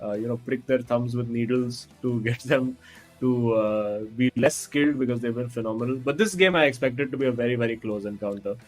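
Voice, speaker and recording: A man's voice: mid-range voice, a little fast, soft background music, poor audio. A man commentating on an esports football tournament in a chatty, joking way. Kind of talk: casual commentary.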